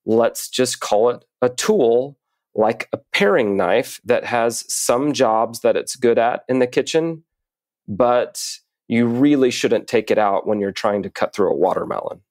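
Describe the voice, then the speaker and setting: A man speaking as an interview guest, explaining in a measured, articulate way with deliberate pauses. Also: mid-range voice, lively intonation, a little mellow, no room sound, studio quality. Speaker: podcast guest, writer and coach.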